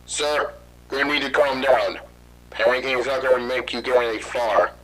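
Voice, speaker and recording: An actor playing a calm government interviewer who speaks in a composed, formal way. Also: male voice, medium pitch, moderate pace, a crowd in the background, lightly acted, rough recording. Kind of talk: amateur horror audio drama.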